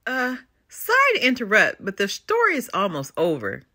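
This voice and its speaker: A woman storyteller giving an expressive read-aloud of a children's book. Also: mid-range voice, moderate pace.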